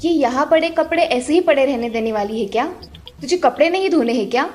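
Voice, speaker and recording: A woman's voice: high voice, lively intonation, a little fast, a little mellow, soft background music. A woman playing a cruel stepmother in a children's moral tale, harsh, nagging and accusing. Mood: scolding. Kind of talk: acted children's story dialogue.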